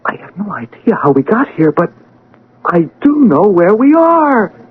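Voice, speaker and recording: Awestruck scientist on tinny old radio. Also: male voice, high-pitched, sing-song, a little slow, breathy edge, heavily acted. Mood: excited, amazed. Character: awestruck scientist floating outside his own dead body. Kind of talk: old-time radio drama acting. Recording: narrow-band, noisy old radio broadcast.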